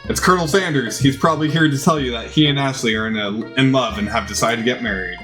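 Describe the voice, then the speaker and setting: A man reads story text from a video game aloud in a medium-pitched voice. His delivery is theatrical and he sometimes trips over words. Soft music plays underneath. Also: fast talker. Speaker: video game playthrough narrator. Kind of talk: dramatized reading of game text.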